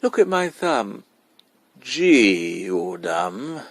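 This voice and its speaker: A man voicing a cheeky, boastful kindergarten boy, loud and excitable, as a storybook character. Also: on the low side, drawn-out words, dry recording, full character voice. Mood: teasing. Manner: insolent, excitable.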